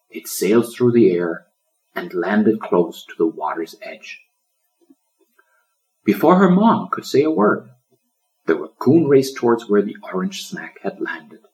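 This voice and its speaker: A man narrating a children's story as an audiobook, in a dramatic, expressive storyteller's manner. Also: on the low side, rough recording. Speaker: author reading own audiobook.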